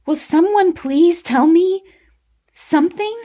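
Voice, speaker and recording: Lady reading as fiery hurt young woman in an audiobook, accusing her guy, voice pitched up. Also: slow, warm tone. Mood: enraged. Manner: accusing, emotional. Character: hot-tempered, wounded young woman arguing with her lover.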